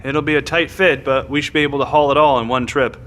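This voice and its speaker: A man's voice: mid-range voice, steady tone, fast talker, a bit bright, natural voice. An actor playing a deferential, dutiful male soldier with a dry wit in a naturalistic audio drama. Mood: businesslike.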